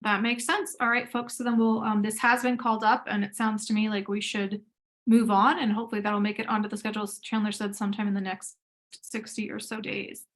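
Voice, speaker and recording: Woman, board chair, friendly and informal, mid-pitched voice, video call. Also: flat delivery, fairly quick. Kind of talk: chairing a public meeting.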